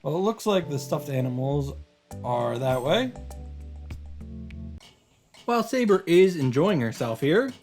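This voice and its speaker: A man reading visual novel text aloud and adding his own animated reactions and summary commentary. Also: on the high side, a little slow, long pauses, soft background music. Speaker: visual novel streamer and narrator.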